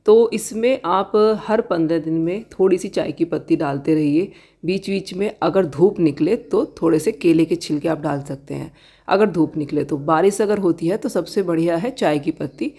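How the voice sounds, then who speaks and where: A woman giving a casual tour of her balcony garden outdoors, gently pointing out plants and giving tips to viewers. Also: lowish voice, moderate pace, breathy edge. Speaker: garden vlogger.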